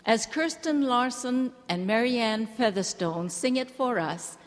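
A woman from Hawaii giving an earnest, gentle church address to a women's gathering. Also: on the high side, expressive, unhurried. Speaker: church speaker. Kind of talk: measured address to a women's conference. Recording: large hall reverb.

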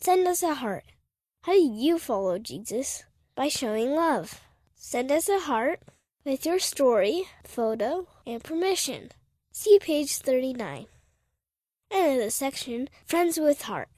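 A woman reading section headings from a children's magazine in a cheerful, deliberate manner. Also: high-pitched, big pitch swings, warm tone, no room sound. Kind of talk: scripted narration.

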